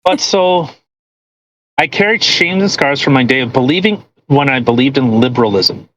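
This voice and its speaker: A podcast co-host with a medium-pitched voice talks casually and reads aloud in between. The delivery is crude and mocking, with plenty of swearing thrown into the commentary. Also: animated, normal speed, a bit bright, no room sound, rough recording. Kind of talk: casual commentary while reading a post aloud.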